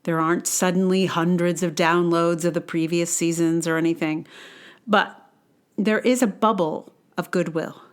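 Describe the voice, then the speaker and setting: A woman's voice: on the low side, steady tone, moderate pace, clean tone. Conversational woman with a wry delivery. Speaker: podcast host and audio drama producer. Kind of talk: personal essay read aloud, then casual aside.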